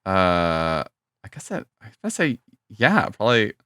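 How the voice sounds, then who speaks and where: A man's voice: on the low side, huge pitch swings, measured pace, bright, forceful tone, clean tone, dry recording, studio quality. A man co-hosting a casual podcast who thinks aloud, hedging his guesses and joking. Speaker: podcast co-host.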